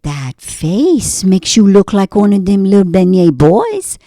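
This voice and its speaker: A woman's voice: medium pitch, sing-song, measured pace, dry recording, studio quality. A woman voicing a kindly, lonely man baker with a folksy way of talking. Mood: mumbling. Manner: folksy, soft mumble that rises to frantic shouts.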